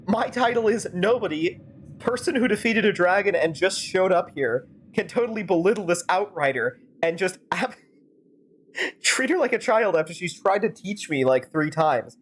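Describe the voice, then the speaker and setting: Low-voiced sarcastic woman streamer over faint noise. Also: expressive, moderate pace. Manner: sarcastic, rambling asides. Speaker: streamer fan-dubbing a game. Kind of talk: improvised commentary and role-play while gaming.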